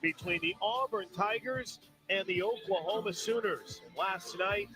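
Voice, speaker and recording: A man's voice: sits high, a little slow, music in the background, low-quality recording. A man calling the game as a play-by-play announcer, upbeat and polished, reading out statistics. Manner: upbeat, stat-heavy, polished. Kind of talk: broadcast sports commentary.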